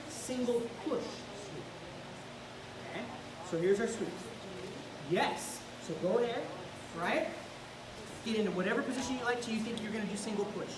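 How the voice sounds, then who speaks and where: A woman jiu-jitsu instructor coaching a student step by step while grappling on the mat. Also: medium pitch. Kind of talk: hands-on technique teaching. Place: large indoor gym with mats. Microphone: camera far across the room. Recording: echoey gym from far off, noisy.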